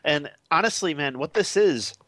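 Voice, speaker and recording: A man's voice: a little high, expressive, moderate pace, a bit bright, dry recording. A man who develops games, talking eagerly in a casual interview and laughing often. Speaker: game developer guest.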